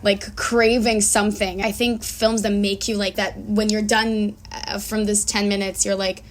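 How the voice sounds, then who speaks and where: A woman's voice: medium pitch, flat delivery, measured pace. A woman speaking as an interview guest, chatting in a reflective, informal way. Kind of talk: casual chat. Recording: faint background noise.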